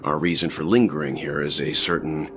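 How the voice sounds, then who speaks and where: A man's voice: rumbling low, steady tone, a little fast, background noise. A man playing a stern, composed and dependable protector in a video game, terse and guarded, with music behind him. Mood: reassuring. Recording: loud background music under the voice.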